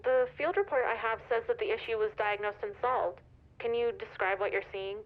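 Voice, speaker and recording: High-voiced phone receptionist. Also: female voice, a little fast. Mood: polite, professional. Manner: polite, businesslike. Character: brisk, evasive young woman answering shop phones. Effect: telephone filter. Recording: tinny phone line.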